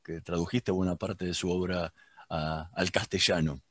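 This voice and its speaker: A man with a fairly low voice asks interview questions. He stumbles and repeats small words before getting his question out. The sound is very dry and close. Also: little pitch movement, fairly bright tone, no echo. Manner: halting, repeats words as he starts.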